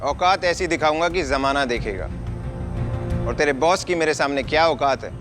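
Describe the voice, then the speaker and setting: An actor playing a wealthy, proud, commanding husband in a Hindi audio drama, boastful and quick to threaten. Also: male voice, on the high side, music in the background, natural voice. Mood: menacing, defiant.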